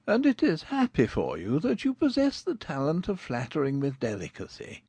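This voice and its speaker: A woman voicing a dry, mock-polite older gentleman, quietly teasing, in a dramatized classic novel. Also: deep voice, huge pitch swings, normal speed, slightly rough, no room sound, voice pushed down, full character voice. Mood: dryly amused.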